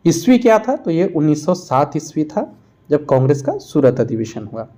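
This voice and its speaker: A man's voice: fairly high pitch, big pitch swings, normal speed, mellow. A man narrating a quiz-style lesson as a teacher, explaining and repeating answers for emphasis. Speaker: exam-prep history teacher.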